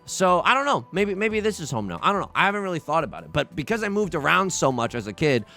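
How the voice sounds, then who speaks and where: Young man, excitable gameplay commentator; lively up-and-down delivery, jokey, trips over restarts, faint game music underneath. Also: fairly high pitch, quick pace. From China, raised in the US. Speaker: let's play host.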